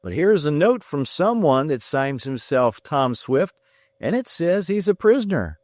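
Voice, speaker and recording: Farmer, middle-aged, plain country drawl, slow and raised, voiced by a male reader. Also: a little high, very animated, no room sound, studio quality, pitched above the performer's own voice. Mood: earnest.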